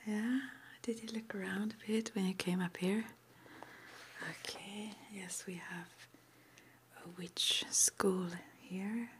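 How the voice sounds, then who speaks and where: A woman speaks in a breathy whisper, very slowly and with long, halting hesitations. Her voice sits low with some creak in it. She plays a gently reassuring guide in an ASMR roleplay, over faint background noise. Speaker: ASMR roleplayer playing a witch school guide and interviewer.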